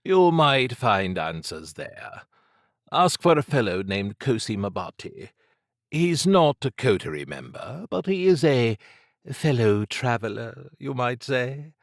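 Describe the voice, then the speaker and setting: A man voicing an urbane, condescending, androgynous occult agent for an audiobook, in a languid purr with drawn-out phrasing. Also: medium pitch, sing-song, no echo, clean studio sound, voice pushed up. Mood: smug, advising. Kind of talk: audiobook character acting.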